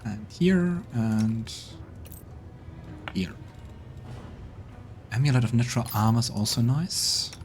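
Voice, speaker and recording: A man hosting a video game let's play, thinking aloud in a relaxed, wry way as he plays. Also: mid-range voice, slow pace, long pauses, slight background noise. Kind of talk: casual gameplay commentary. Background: game music.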